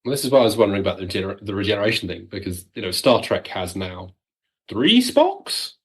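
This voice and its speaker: Low-voiced man chatting. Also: sing-song, fast, slightly rough. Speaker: guest, audio drama producer. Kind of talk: casual interview chat.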